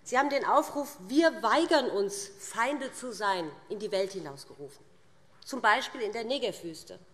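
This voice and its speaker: A woman's voice: a little high, expressive, moderate pace. Middle-aged woman speechmaking in a reverberant hall. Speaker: parliamentary speaker for a party group. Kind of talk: formal prepared political speech. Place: large indoor parliament chamber. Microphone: lectern microphone.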